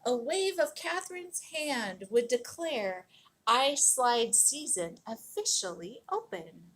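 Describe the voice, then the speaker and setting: Animated, high-pitched woman reading a storybook. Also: poor audio. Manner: dramatic, emphatic storytelling with drawn-out words. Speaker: storybook reader. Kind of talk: expressive read-aloud of a children's picture book.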